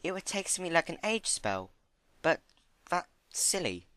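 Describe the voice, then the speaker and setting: A man voicing a timid, gentle young female pony with an earnest, hesitant manner, in a story reading. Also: a little high, big pitch swings, normal speed, clean tone, no echo, voice pushed up. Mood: musing, hesitant.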